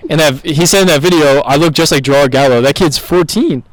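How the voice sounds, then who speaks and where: A man's voice: a little high, fairly quick, no room sound, low-quality recording. A man of twenty, a videographer and co-host, talking in a casual, loose way, full of "like" and "bro". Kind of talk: casual chat.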